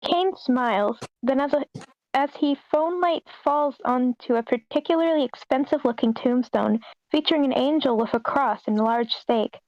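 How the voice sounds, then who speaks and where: A woman reads screenplay narration aloud in a fairly high voice. The sound is dull and close, like a muffled online meeting with little room echo. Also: moderate pace. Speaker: reader of stage directions at an online table read.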